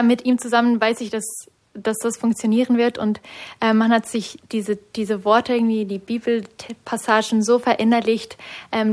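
Young swiss woman w/ swiss german accent, kinda nervous, lots of ums, flat melody, higher voice. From Switzerland. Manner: hesitant, many fillers, nervous. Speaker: guest, discipleship school student. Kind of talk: radio interview answers.

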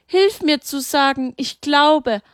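A woman's voice: slow pace, no room sound, studio quality. A woman voicing Mary, a grieving, devout mother who pleads in a raised, anguished voice. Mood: desperate. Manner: pleading, anguished, high-pitched.

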